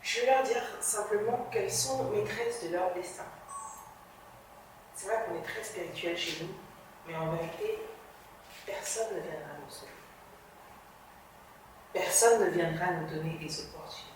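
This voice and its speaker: Female journalist guest, earnest and reflective, phrases fade softly at the end, very echoey room, mic set back from her. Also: on the low side, normal speed, pauses often, background noise. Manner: earnest, reflective, drops to a soft level at phrase ends. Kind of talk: motivational interview talk. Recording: reverberant room, distant mic.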